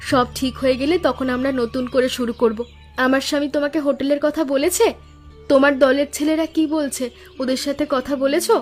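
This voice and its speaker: A kind, motherly woodcutter's wife from a cartoon story, played by a woman. Her voice is clearly high, with a gentle, hopeful and encouraging manner. Soft music plays in the background. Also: fairly even intonation, fairly quick, close to an everyday voice. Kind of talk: Bengali cartoon story dialogue.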